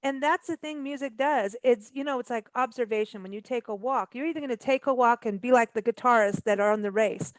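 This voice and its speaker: High-voiced chatty woman radio host. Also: dry recording, rough recording. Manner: enthusiastic, chatty, quick agreement. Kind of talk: casual radio interview chat.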